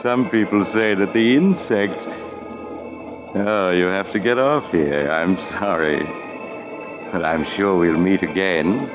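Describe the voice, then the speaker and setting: A man's voice: sits low, slow. A man playing a sly, mysterious traveler who hosts an old-time radio mystery with theatrical mock sympathy. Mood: sly, ominous. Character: sly, macabre mysterious male traveler on a train. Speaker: radio host narrator. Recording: muffled old radio, music behind.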